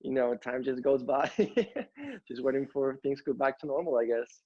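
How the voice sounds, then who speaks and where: A man's voice: mid-range voice, animated, normal speed, darkish tone, slightly rough, dry recording, lo-fi sound. A young man from Ecuador, a college tennis coach, answering interview questions from home, speaking earnestly with many fillers and restarts. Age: young adult. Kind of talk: interview over video call.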